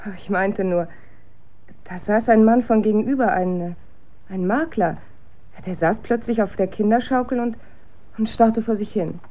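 An actress playing an observant, opinionated wife in naturalistic radio drama, conversational and pointed. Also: female voice, mid-range voice, moderate pace, long pauses, muffled recording, natural voice. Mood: probing.

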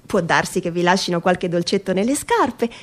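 A woman's voice: on the low side, animated, moderate pace, natural voice. A woman voicing an earnest parent who matter-of-factly warns the children at bedtime in a theatrical story reading. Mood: earnest warning.

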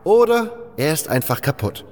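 A man's voice: fairly high pitch, big pitch swings, moderate pace, a little mellow, big-room sound, soft background music, pitched above the performer's own voice. A man voicing an exasperated, reluctant adventurer in a fantasy audio drama, with a biting, sarcastic manner.

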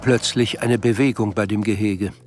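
A man's voice: lowish voice, fairly even intonation, normal speed, over music, lightly acted. A man reading scripted literary narration as the sober storyteller of a radio play. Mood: sober, measured.

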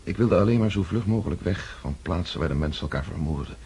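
A man's voice: sits low, fast, darkish tone, slight background noise. An actor playing a thoughtful nobleman who has lived through war and speaks earnestly and inwardly in a radio drama. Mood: weary. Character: reflective male nobleman recovering from war.

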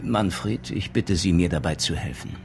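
An actor playing a dry, courteous police inspector in a radio crime drama, speaking formally and with measured authority. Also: male voice, sits low, vocal fry. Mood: sober, stern. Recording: music behind the voice.

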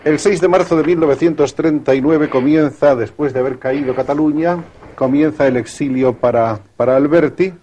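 Male interviewer, calm measured questions, mellow dark tone. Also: a little high, slight background noise. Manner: measured, prompting with dates and facts.

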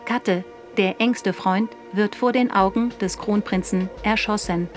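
A woman's voice: mid-range voice, measured pace, close to an everyday voice. Sober woman as female narrator over music. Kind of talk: scripted factual narration.

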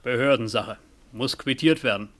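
An actor playing a hurried, by-the-book official messenger in a 1950s German radio drama, clipped and bureaucratic. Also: male voice, mid-range voice, fairly bright tone, close to an everyday voice. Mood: businesslike.

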